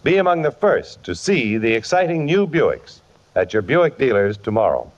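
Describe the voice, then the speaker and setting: A male radio announcer delivers a polished, emphatic 1950s sales pitch with lively swings in a mid-range voice. The old broadcast sounds muffled with slight noise. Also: moderate pace.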